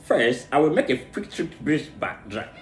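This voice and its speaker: A man from Nigeria with an Igbo accent, a native Igbo speaker, reads a tongue-twister storybook aloud, haltingly, stumbling over and repeating words. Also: mid-range voice, very animated. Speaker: English pronunciation learner. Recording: echoey room, music behind.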